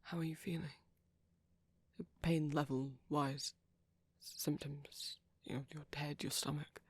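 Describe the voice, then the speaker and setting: A woman voicing a shy, gentle young male vampire boyfriend in an ASMR roleplay, speaking breathily and hesitantly with small stammers. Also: deep voice, little pitch movement, measured pace, breathy edge, dry recording. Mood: shy, caring. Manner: hesitant, stammering, softly whispery. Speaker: audio roleplay performer.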